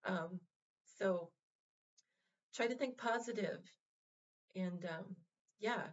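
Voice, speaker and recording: A woman hosting a vlog, talking to her viewers in a casual, heartfelt way, gentle and reassuring, with lots of fillers and restarts. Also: mid-range voice, takes it slowly, muffled recording. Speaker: vlog host.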